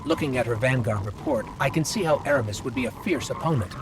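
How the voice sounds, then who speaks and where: A man's voice: mid-range voice, quick pace, lightly acted. A man voicing a small, earnest robot companion in a video game, speaking in an inquisitive, conversational way. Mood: thoughtful, uneasy. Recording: very noisy game ambience behind.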